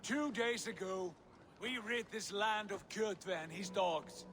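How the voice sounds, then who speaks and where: High, forceful Viking prince. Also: male voice, slow. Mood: rousing. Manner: forceful, declamatory. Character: proud, hot-headed Norse warrior prince. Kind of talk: dramatic video game acting.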